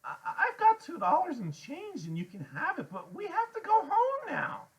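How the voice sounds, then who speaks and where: A man voicing a small, frightened boy in an audiobook, chattery and high, with formal, stammering politeness. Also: huge pitch swings, normal speed, bright, forceful tone, heavily acted, rough recording. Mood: anxious, pleading. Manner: formal wording, nervous stammer.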